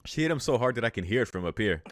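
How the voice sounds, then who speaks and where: A man's voice: fairly low pitch, animated, racing, no room sound, studio quality. A man reading a visual novel aloud as a streamer, with amused, slangy and sweary casual asides. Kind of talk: casual read-aloud with commentary. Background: faint game music.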